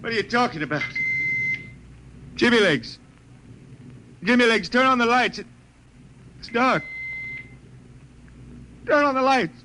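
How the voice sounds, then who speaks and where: A man's voice: very high voice, lively intonation, measured pace, pauses often. Drawling, insolent old-radio spaceman. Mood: urgent, shaken. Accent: American. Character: defiant, folksy spaceship jetman who writes bawdy songs. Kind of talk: 1950s radio drama acting. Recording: noisy vintage 1950 radio broadcast.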